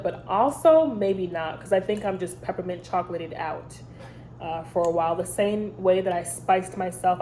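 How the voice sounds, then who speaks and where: Congested woman with a mellow voice. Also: on the low side, a little hiss or hum. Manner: chatty, rambling, many ums. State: congested from a head cold. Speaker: candle review channel host. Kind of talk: casual review vlog.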